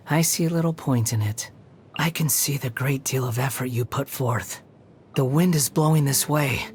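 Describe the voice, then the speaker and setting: A man playing an arrogant, bitter, scornful young male character in a video game, with a condescending, taunting delivery. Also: on the high side. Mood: dry, aloof.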